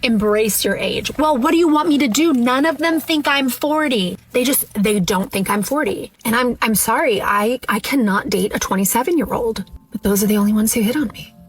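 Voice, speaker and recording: A woman's voice: on the high side, moderate pace. A woman in her early forties talking casually and confessionally, with a wry, exasperated, emphatic manner. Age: early 40s. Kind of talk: casual confessional monologue to camera.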